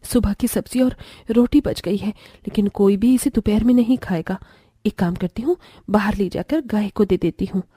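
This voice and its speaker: A woman acting a bossy, haughty rich mother-in-law in a cartoon family story. Her voice is dark and mellow at a middling pitch, recorded very dry. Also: normal speed, husky, no room sound, close to an everyday voice. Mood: matter-of-fact. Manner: bossy, scornful.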